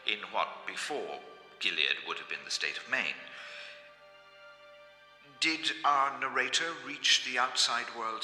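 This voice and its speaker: An actor playing a formal, scholarly historian giving an oratorical lecture in an audio drama. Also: male voice, fairly low pitch, sharp tone. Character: measured, scholarly historian lecturing on a recovered archive. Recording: reverberant lecture hall.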